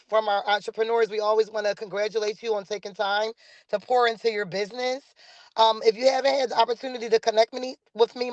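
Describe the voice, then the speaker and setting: A woman's voice: a little high, no echo. Woman, webinar host, enthusiastic, chatty, dry close sound, muffled lo-fi video call audio. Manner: enthusiastic and chatty, many "um"s, encouraging. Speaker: webinar host, organization director. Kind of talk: hosting a business webinar.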